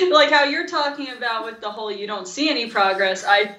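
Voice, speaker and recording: A woman co-hosting a casual podcast, rambling through stories in an animated, informal way. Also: on the high side, echoey room, rough recording. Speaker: podcast co-host. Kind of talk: casual chat.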